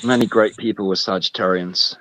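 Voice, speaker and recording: A man's voice: low voice, steady tone, a little fast, fairly bright tone, dry recording, poor audio. A man chatting playfully and rambling in a casual group voice chat. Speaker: voice chat participant.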